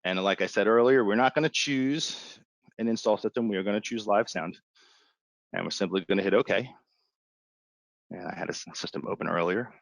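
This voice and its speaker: A man instructing in an informal, step-by-step way, as in a live software walkthrough. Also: lowish voice, brisk, vocal fry, pauses often, no echo.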